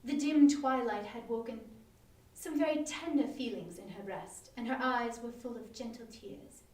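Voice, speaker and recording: Female story reader: high, expressive pitch, dramatic narration, some distance from the mic. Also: moderate pace, roomy sound. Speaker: reader at a live online performance.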